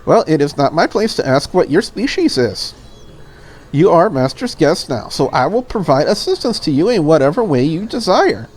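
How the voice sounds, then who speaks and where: Visual novel maid, voiced by a woman: courteous, deferential, quietly curious, measured wording with animated intonation. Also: low voice, slight background noise. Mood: polite, apologetic. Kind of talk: visual novel character read aloud.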